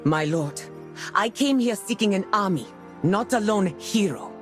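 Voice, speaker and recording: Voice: medium pitch, animated, unhurried, soft background music, natural voice. An actor playing a stern, proud envoy warrior in a video game, speaking formally and curtly. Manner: formal, curt, commanding. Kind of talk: dramatic video game cutscene acting.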